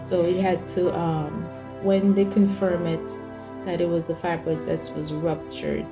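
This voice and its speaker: A woman's voice: on the low side, level tone, unhurried. A woman in her forties telling her personal testimony in a hesitant way as host. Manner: hesitant, many fillers and restarts. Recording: music bed behind.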